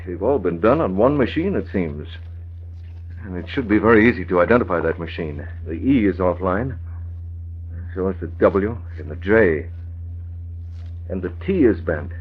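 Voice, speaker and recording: A methodical American masked crime-fighter in a vintage radio serial. The voice is very low and husky, calm and in command, on a dull recording. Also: male voice. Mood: intent. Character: commanding male masked vigilante, cool, methodical. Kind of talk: 1940s radio acting.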